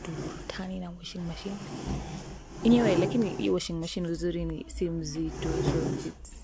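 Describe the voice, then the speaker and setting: A woman's voice: fairly low pitch, fairly even intonation, moderate pace, very noisy. A woman with a Kenyan accent chatting casually and playfully as she narrates a chore, switching languages mid-sentence. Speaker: vlogger. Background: clicking and water sounds.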